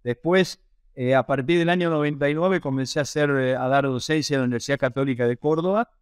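A man's voice: medium pitch, fast, clean tone, no echo, studio quality. An older man with an Argentine accent, explaining his work as an interview guest in a conversational way. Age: late 50s to 60s. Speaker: interview guest, pig production researcher and lecturer. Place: small indoor office on a video call. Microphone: wired earphone headset.

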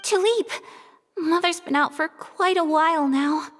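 An actress voicing a gentle, polite young noblewoman in a video game, with courteous delivery. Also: very high-pitched, a little slow, in a large hall.

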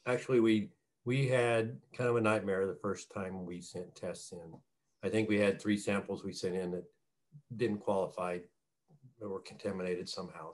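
A middle-aged farmer with a fairly low voice answers questions on a video call. He is a plain-spoken man, talking in a casual, folksy way. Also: darkish tone. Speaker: farmer, guest. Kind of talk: casual interview talk. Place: small wood-panelled room at home. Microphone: computer mic on a video call.

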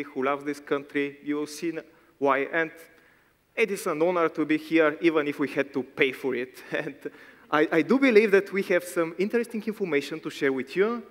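A man's voice: fairly high pitch, fairly bright tone. A man with a Bulgarian accent giving a joking, self-deprecating conference talk on stage with a handheld mic. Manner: wry, self-deprecating, teasing. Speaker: conference speaker. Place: large conference hall stage. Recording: long hall reverb.

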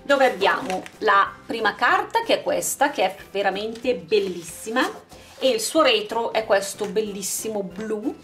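A woman's voice: medium pitch, measured pace, fairly bright tone. A woman hosting a craft channel, casually narrating an unboxing and presenting items one by one in an informal way. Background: background music.

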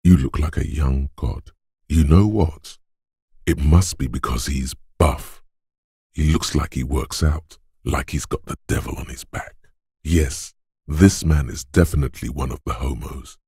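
An actor voicing a gruff, macho inner voice of physical strength for a video game, like a drill coach, blunt, taunting and commanding, with a gravelly, husky tone. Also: male voice, extremely low pitch, unhurried, dark tone, dry recording, studio quality, full character voice. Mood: blunt, goading.

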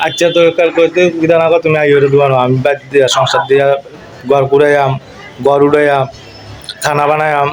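A man with a rural eastern Bengali dialect bantering casually on a noisy phone video call, insistent and teasing, repeating his phrases. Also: on the high side.

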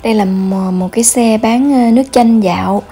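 A woman with a southern Vietnamese accent narrating an informal travel guide as a vlogger, often pausing mid-phrase. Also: fairly high pitch, mellow, husky, soft background music.

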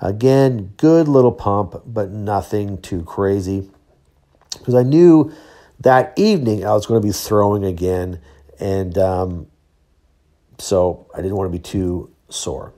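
A man's voice: fairly low pitch, slow, mellow. A man of about fifty giving a casual, matter-of-fact voice-over as an athlete on a training vlog. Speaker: athlete vlogger, Highland games thrower.

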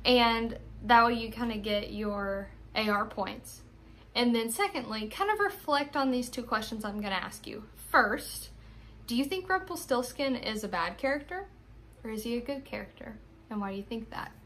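High-pitched young American woman reading aloud. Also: expressive, normal speed, fairly bright tone, slight background noise. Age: young adult. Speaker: teacher reading aloud to pupils. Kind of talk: expressive storybook narration.

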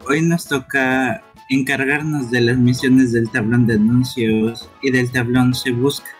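A man's voice: medium pitch, level tone, measured pace, soft background music, lightly acted. A man voicing a timid, earnest young fox-like creature in a live amateur game dub. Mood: determined. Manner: earnest, apologetic.

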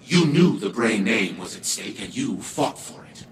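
An actor playing a proud, cold old patriarch turned giant AI in a video game, pompous, theatrical and condescending. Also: male voice, fairly low pitch, sing-song, measured pace, a little hiss or hum. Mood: indignant. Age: older. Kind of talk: dramatic video game acting.

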